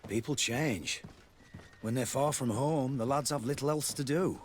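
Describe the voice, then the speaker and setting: An actor playing a worldly, chatty army quartermaster in a video game, a man with a husky voice. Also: mid-range voice, unhurried, slight background noise, close to an everyday voice. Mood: apologetic. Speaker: military quartermaster.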